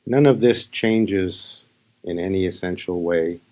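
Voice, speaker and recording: A man speaking as a spiritual teacher, leading a guided meditation in short, unhurried phrases with pauses. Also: sits low, a little mellow. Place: live session.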